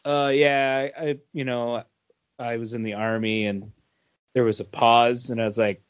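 A man talking informally as a co-host in a relaxed podcast conversation. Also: mid-range voice, normal speed, clean tone. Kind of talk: casual chat. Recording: slightly dull but dry recording.

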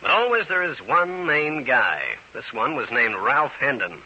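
An actor playing a wisecracking but good-hearted American private eye, narrating and acting in an old-time radio drama. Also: male voice, mid-range voice, animated, unhurried, pushed and bright, breathy edge, lo-fi sound, exaggerated voice. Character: wisecracking, good-hearted tough New York private detective.

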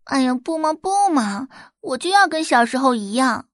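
A woman's voice: sits high, very animated, no room sound, clean studio sound, voice pushed up. Woman playing a sweet, clingy spoiled little sister. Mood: delighted, coquettish. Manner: cutesy wheedling with drawn-out words. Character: sweet, clingy, spoiled teenage younger sister, coquettish and pleading. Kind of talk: Chinese audio drama acting.

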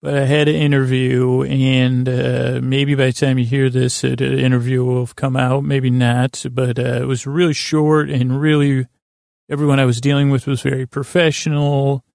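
A man hosting a sleep podcast in a creaky, tired voice, rambling haltingly through tangents and often interrupting himself. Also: medium pitch, little pitch movement, darkish tone, no echo, studio quality. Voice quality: creaky, croaky voice. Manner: meandering, halting, self-interrupting, trailing off. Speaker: podcast host.

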